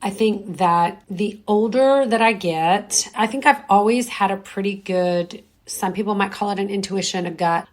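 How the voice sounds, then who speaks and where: A middle-aged woman hosting a podcast in a reflective, conversational way, her voice hoarse and strained. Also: mid-range voice, moderate pace, few pauses. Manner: reflective, conversational, many fillers. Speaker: podcast host. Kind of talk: solo podcast talk.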